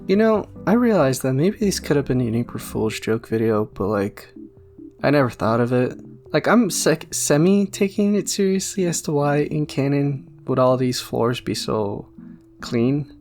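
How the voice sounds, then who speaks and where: A man's voice: medium pitch, expressive, normal speed, a little mellow. A man giving casual fan theory commentary, rambling and jokey with a self-deprecating streak. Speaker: YouTube video essayist. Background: background music.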